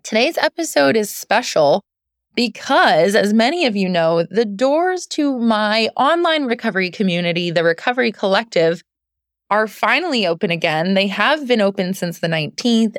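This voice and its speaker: A woman's voice: mid-range voice, animated, normal speed, no echo, clean studio sound. A woman hosting a podcast as a recovery coach, talking earnestly and encouragingly straight to her listeners. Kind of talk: solo podcast monologue addressing listeners.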